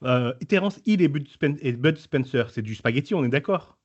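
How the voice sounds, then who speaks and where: A man's voice: medium pitch, animated, moderate pace, darkish tone, slightly rough. A man with a mid-range voice, hosting a talk show and putting questions to a guest in a loose, hesitant, chatty way. The recording sounds slightly dull and lo-fi. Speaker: show host. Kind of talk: casual interview talk.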